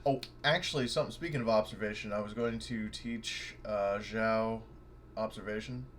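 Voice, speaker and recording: A man's voice: lowish voice, fairly even intonation, moderate pace, fairly bright tone, a little hiss or hum. A man playing in a tabletop game, chatting casually and rambling, often breaking off mid-thought. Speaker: player. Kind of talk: casual chat at a gaming table.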